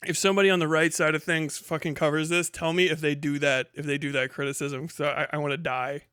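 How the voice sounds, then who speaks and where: High-voiced, profane man reacting casually. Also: little pitch movement, quick pace, a bit bright, clean tone, no echo, clean studio sound. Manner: profane, slangy. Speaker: streamer reacting to a video. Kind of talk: casual live reaction commentary.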